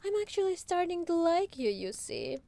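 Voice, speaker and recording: A woman's voice: very high-pitched, very animated, normal speed, gravelly, warm tone, full character voice. A woman playing a proud, teasing demon lord in a roleplay ASMR monologue, casually regal and playfully menacing. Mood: gleeful, teasing.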